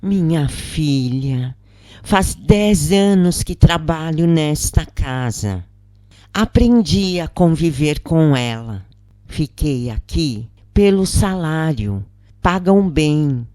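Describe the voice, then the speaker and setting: A narrator with a Brazilian accent voicing a weary, patient working mother in a storybook, advising gently and in a measured way. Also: medium pitch, animated, no room sound, studio quality. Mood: resigned, advising.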